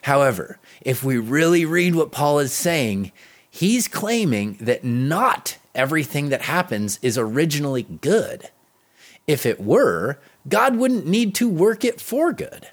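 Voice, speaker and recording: Man reading a devotional with lively, varied pitch. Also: a little slow. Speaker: devotional host and pastor. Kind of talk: devotional reading, scripted narration.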